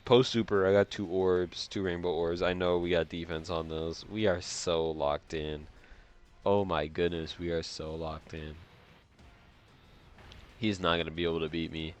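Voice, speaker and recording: A man's voice: low-pitched, little pitch movement, unhurried, faint music behind. A man with a stuffed-up, congested nose giving casual gameplay commentary, slangy and repeating phrases to himself. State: nasally congested, breathing through mouth. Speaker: gaming video host.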